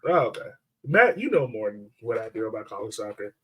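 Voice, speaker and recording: A man's voice: lowish voice, sing-song, a little fast, dull audio. A man hosting a casual sports podcast, talking in a chatty, informal way.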